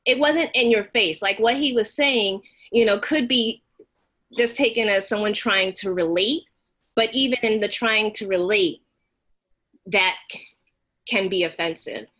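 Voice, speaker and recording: A middle-aged woman with an American accent speaks in a casual, conversational way. Her pitch sits in the middle range as she offers feedback to a group. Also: unhurried, fairly bright tone. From United States. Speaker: audience member giving feedback. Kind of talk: casual spoken feedback at an online play reading.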